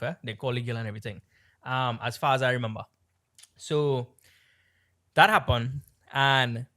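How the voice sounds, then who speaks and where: Male podcast co-host from Trinidad, Trinidadian-tinged American accent, mid-pitched, rambling and animated storyteller. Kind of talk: casual storytelling chat.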